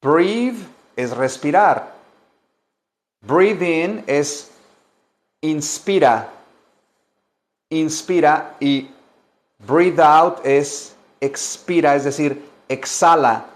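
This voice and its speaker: A man teaching English, switching between languages and repeating each phrase slowly for learners. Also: a little high. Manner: slow, deliberate drilling with many repetitions. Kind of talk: bilingual language lesson.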